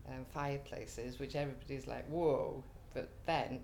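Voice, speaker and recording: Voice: medium pitch, steady tone, normal speed, gravelly, darkish tone, slight background noise. A middle-aged native Welsh speaker with a Welsh accent, telling stories in an interview with many fillers and restarts. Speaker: interviewee, community cafe organiser. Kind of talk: relaxed storytelling interview.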